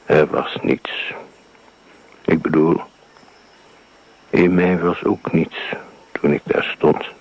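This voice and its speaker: An actor playing an older writer haunted by a wartime firestorm, speaking slowly in a hushed, breathy, gravelly voice in a radio play. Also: male voice, very deep voice, flat delivery, full character voice, poor audio. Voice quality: gravelly, breathy, half-whispered. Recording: old radio broadcast recording.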